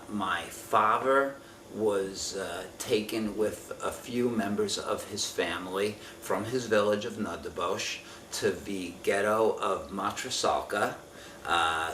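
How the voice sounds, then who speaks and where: A man in his fifties answering an oral history interview from memory, speaking in a halting, careful, measured way. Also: low-pitched, bright, forceful tone. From Israel. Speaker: interviewee giving family testimony. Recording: roomy, distant mic with faint noise.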